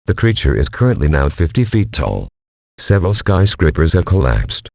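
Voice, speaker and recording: A low-pitched male announcer reads a formal emergency bulletin in a robotic, impersonal way. The intonation moves up and down noticeably, and the sound is dry and close. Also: sing-song, normal speed, gravelly, a little mellow, no room sound. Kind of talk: formal scripted evacuation bulletin.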